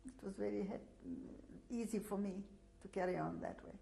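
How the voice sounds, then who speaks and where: An older woman, a native Russian speaker, giving an oral history testimony, pausing often with fillers and restarts. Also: medium pitch, creaky, warm tone, slight background noise. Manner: hesitant, many fillers and restarts. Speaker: interviewee.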